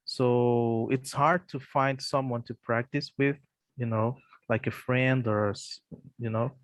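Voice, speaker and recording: A man's voice: medium pitch, fairly even intonation, takes it slowly, dry recording. A Brazilian man with a Portuguese accent, a native Portuguese speaker practicing English in a casual online lesson, hesitant with many fillers and restarts. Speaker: English learner.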